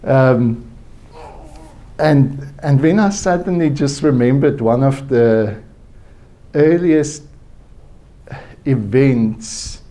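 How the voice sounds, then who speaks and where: Slow South African man telling a story. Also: mid-range voice, dark tone. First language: Afrikaans. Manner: slow, halting, reflective with frequent restarts. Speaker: conference speaker. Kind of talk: personal storytelling talk. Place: conference hall.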